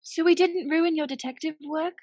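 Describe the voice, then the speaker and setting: Young gossiping maid, radio play. High, dry sound, gushing and excitable. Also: female voice, normal speed, no room sound. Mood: gushing, excited. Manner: gushing, theatrical, eager gossip.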